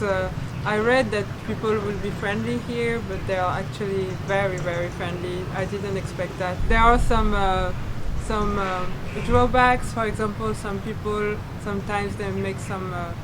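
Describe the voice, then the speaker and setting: A woman's voice: mid-range voice, heavy background noise. A young French woman with a French accent, telling her experiences as a guest, hesitant, with many fillers and restarts. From France.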